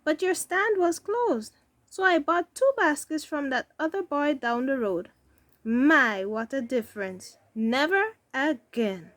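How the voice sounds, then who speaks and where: A woman's voice: high voice, expressive, takes it slowly, a bit bright, no echo, voice pushed up, exaggerated voice. A woman voicing a friendly, chatty lady customer in a storybook, effusive and full of exclamation. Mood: emphatic.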